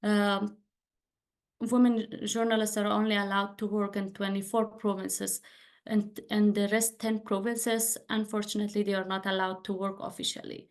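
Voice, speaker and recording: Afghan-accented woman, halting English. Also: mid-range voice, flat delivery. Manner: halting non-native English with many fillers. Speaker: webinar speaker. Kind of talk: presentation in a webinar.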